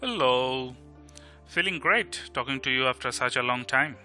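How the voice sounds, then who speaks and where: A man's voice: medium pitch, sing-song, a little fast, bright, forceful tone. Young Indian male student played by an actor, Indian accent, witty, bookish, audio drama dialogue, music underneath. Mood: warm, friendly.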